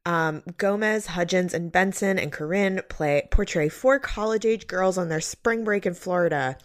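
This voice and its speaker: A woman hosts a film podcast in a conversational way. Her voice sits a little low for a woman. The sound is very dry, with no room around it. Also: moderate pace, no echo. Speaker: podcast host.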